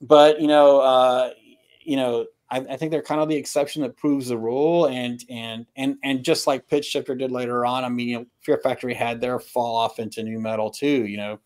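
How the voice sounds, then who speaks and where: A middle-aged man in a casual music discussion on a video call, halting and opinionated, often clearing his throat. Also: mid-range voice, fairly even intonation, a little mellow. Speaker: album review panelist. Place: home room with records, indoors.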